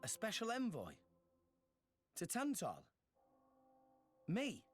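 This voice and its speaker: High, earnest teenage boy adventurer. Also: huge pitch swings, slow pace, soft background music, full character voice. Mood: surprised, questioning. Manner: casual, questioning. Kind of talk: game cutscene acting.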